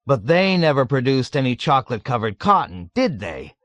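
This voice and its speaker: Guy doing a loud fawning colonel, pitch jumping all over, jovial but nervous. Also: very animated, a little fast, no echo. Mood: gushing. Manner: loud, gushing, eager to please.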